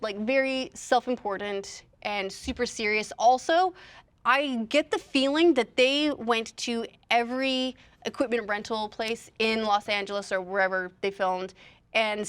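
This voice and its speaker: A woman's voice: a little high, normal speed, clean tone. A woman talking casually as a panelist, opinionated, with sarcastic exaggeration. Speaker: panelist on a video game movie show.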